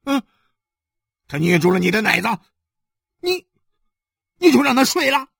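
Raging, jealous husband in an audiobook drama: coarse, explosive, high-strained shouting with a rasp. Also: male voice, big pitch swings, fast talker, dry recording, studio quality, full character voice. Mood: angry demanding. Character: enraged jealous husband bellowing at his wife.

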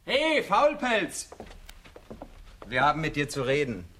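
An actor playing an impatient, threatening peasant son in a children's radio play, with a blunt, scolding manner. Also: male voice, a little high, wildly swooping pitch, slow, background noise, heavily acted. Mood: angry, threatening.

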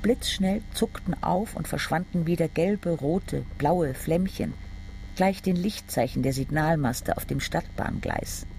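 Male narrator, literary radio drama, gravelly, slightly high-pitched, serious, deliberate. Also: noisy background.

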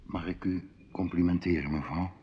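An actor in a Dutch radio drama playing an intrusive, flattering musician who is a dinner guest with secret desires. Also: male voice, low-pitched, moderate pace, close to an everyday voice. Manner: flattering, florid, provocative. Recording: muffled, noisy old radio play recording.

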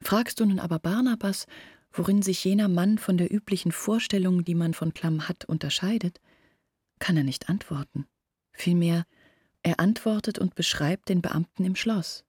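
An actress playing an earnest village woman in a radio play, explaining things in a measured, husky voice. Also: fairly low pitch, a little mellow, no echo, studio quality. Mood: earnest, reflective. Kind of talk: literary radio play monologue.

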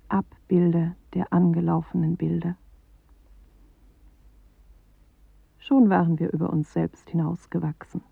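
A solemn, measured narrator of bleak poetry in a radio play. The voice is breathy and creaky, dry and close, in a narrow-band old recording. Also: medium pitch, no room sound. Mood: grave. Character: grave poetic narrator reciting bleak apocalyptic images. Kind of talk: literary radio-play recitation.

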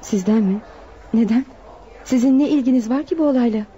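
A high, soft-toned female voice of an actress plays a worried young waitress. She speaks fast, respectful and earnest, in radio drama style. The recording is slightly dull. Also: a little hiss or hum, close to an everyday voice. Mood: guarded. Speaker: voice actor. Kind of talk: Turkish radio drama acting.

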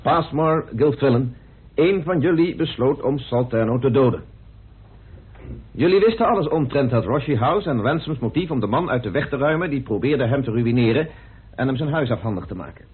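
Husky, deliberate accusing sleuth. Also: male voice, medium pitch. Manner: deliberate, accusing, theatrical pauses. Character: methodical male amateur detective confronting suspects, deliberate and insistent. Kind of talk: radio play acting in Dutch. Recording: muffled old radio drama recording.

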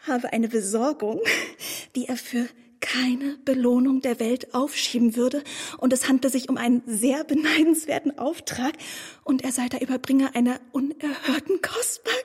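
A young woman acting a naive, adoring gentlewoman in a period radio play, with a breathless, gossipy, effusive manner. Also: fairly high pitch, breathy edge.

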